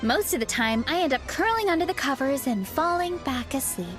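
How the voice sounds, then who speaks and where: A woman's voice: high-pitched, lively intonation, a little fast, music in the background. A woman playing a friendly, easygoing teenage farm girl showing visitors around in a video game. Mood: chatty, easygoing. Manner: chatty, easygoing, youthful. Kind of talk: video game character acting.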